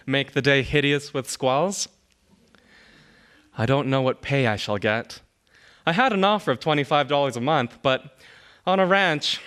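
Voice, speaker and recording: A man giving a dramatic reading of a historical letter as a young English immigrant farmhand, in measured, deliberate phrases. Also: medium pitch, fairly bright tone, natural voice. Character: young English immigrant farmhand writing home, observant and matter-of-fact. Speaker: reader.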